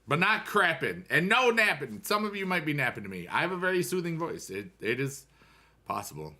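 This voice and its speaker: A man's voice: fairly high pitch, sing-song, brisk, pushed and bright. Rambling, playful American man streaming. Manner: rambling, playful rhymes, upbeat. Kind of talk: casual stream chat.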